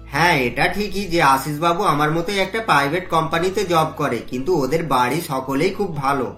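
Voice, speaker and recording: A woman's voice: sits low, normal speed, a bit bright. A woman voicing a doting, worried middle-class father in a cartoon, earnest and fatherly. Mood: reassuring. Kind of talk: cartoon voice acting. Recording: roomy echo, music behind.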